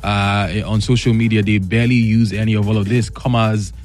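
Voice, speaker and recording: A man's voice: lowish voice, level tone, a little fast, darkish tone, faint music behind. A young man, co-hosting a radio show and joking around with his partner. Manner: jokey, mock-threatening, laughs a lot. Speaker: radio co-host.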